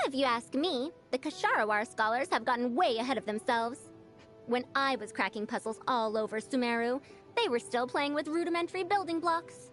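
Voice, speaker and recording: An actress playing a proud, bossy ancient scholar who sounds youthful, lecturing theatrically as a video game character. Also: female voice, high voice, brisk, fairly bright tone, faint music behind. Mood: grumbling.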